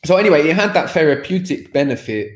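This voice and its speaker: A man's voice: a little high, animated, quick pace. A man in his thirties, a guest on a video call, talking candidly and at length, often laughing and restarting his sentences. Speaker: guest, language course creator. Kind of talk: candid personal conversation. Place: home room by a sofa. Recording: echoey room over video call.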